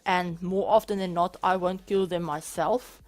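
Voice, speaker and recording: A woman's voice: on the low side, steady tone, measured pace, a little mellow. A young woman with a South African accent, chatting through viewer questions in a casual gaming vlog, with frequent restarts and small laughs. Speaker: gaming YouTuber. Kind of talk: casual Q&A over a speed build.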